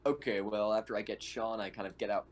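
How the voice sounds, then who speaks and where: A man's voice: fairly low pitch, fairly even intonation, fast. A man playing a tabletop roleplaying game, chatting informally and swearing as he describes what his character does. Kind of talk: casual in-character game chat. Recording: faint background noise.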